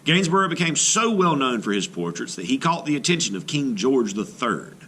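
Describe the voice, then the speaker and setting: A man reading aloud an art lesson for children as a teacher, with expressive, clearly phrased delivery. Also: medium pitch, moderate pace.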